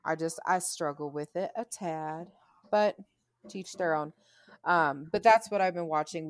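Low, creaky-voiced woman podcaster. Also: no room sound, studio quality. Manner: blunt, sweary. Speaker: podcast co-host. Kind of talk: casual chat.

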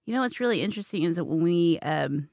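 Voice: mid-range voice, lively intonation, fast talker, gravelly, a little mellow, no room sound, studio quality. An interviewer asking long, meandering questions with many fillers and restarts. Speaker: interviewer, host. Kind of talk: conversational interview.

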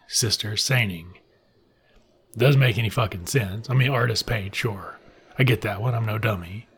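A middle-aged American man from Mississippi with a husky voice, giving comic commentary in an irreverent, sardonic, swearing manner. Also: on the low side. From Mississippi, United States.

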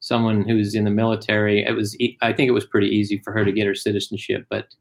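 A man from Germany raised in Utah, a guest in a casual interview, telling family stories plainly with frequent pauses. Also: lowish voice, flat delivery, creaky. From born in Germany, raised in Utah.

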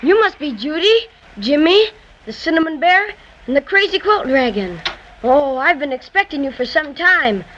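A woman's voice: sits high, big pitch swings, normal speed, fairly bright tone. A woman playing a polite, helpful little elf doorkeeper in a 1930s children's radio serial, courteous and welcoming. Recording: dull, hissy 1930s radio recording.

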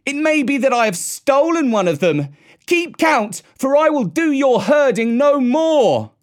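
A man's voice: a little high, expressive, a little slow, voice pushed up. A man voicing a proud, scornful young boy raised by wolves, in archaic, declamatory storybook speech. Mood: scornful, defiant. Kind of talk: storybook character voice.

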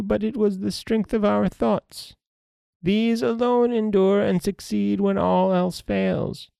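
A man's voice: high-pitched, gravelly, warm tone, no room sound, pitched above the performer's own voice, heavily acted. A man voicing a stern, wise old fairy woman from a storybook, chiding solemnly. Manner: solemn, admonishing. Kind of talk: storybook character voice.

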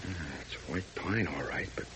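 An eager young male sidekick, gravelly, low, lively, 1940s radio serial with hiss. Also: normal speed. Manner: exclaiming, stammering. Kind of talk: 1940s radio drama acting. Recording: hissy old radio broadcast.

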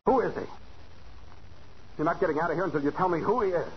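An actor playing a bitter, suspicious, wounded husband in a 1940s radio thriller, hard-bitten and insistent. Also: male voice, a little high, fast talker, lightly acted. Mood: suspicious, demanding. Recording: muffled 1940s radio recording, faint noise.

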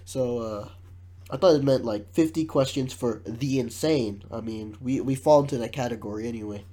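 A man's voice: mid-range voice, normal speed, mellow. Nasal sick man hosting a podcast. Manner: jokey, laughs often, reads questions aloud. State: congested, sick. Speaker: podcast host. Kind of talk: casual podcast chat.

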